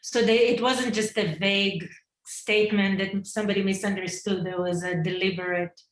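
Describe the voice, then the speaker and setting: A woman host poses long questions in a slow, deliberate way. Her pitch is middle, and her voice sounds echoey in the room. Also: steady tone. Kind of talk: interview questions.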